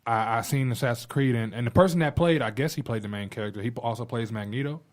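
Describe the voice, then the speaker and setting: A man's voice: mid-range voice, fast talker. A man talking casually on a podcast panel, emphatic and slangy, swearing freely. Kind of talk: casual chat.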